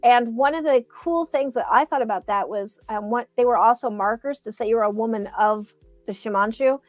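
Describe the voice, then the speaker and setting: A woman's voice: fairly high pitch, moderate pace, dry recording, faint music behind. A woman chatting casually and eagerly, rambling with lots of restarts. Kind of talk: casual chat.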